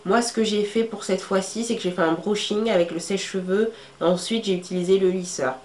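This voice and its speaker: A woman's voice: medium pitch, fairly even intonation, fairly quick, darkish tone. Chatty woman with a cold, echoey room. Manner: chatty, many fillers, shows items to camera. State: congested with a cold. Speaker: beauty vlogger. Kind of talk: casual how-to talk to camera. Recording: echoey room with faint ambient noise.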